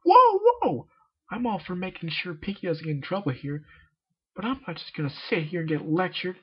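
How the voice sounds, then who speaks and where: Man playing a loud, brash girl pegasus, pitched up. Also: big pitch swings, moderate pace, husky, full character voice. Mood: defensive. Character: brash, defensive young female pegasus pony. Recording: dull lo-fi home recording.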